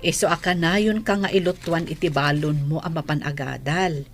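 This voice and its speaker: A woman's voice: deep voice, fairly even intonation, normal speed, faint music behind. A woman playing a hardworking, earnest mother in a radio drama, counselling with a Filipino accent. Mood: earnest, hopeful. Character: hardworking mother, devout, earnestly urging her child's schooling.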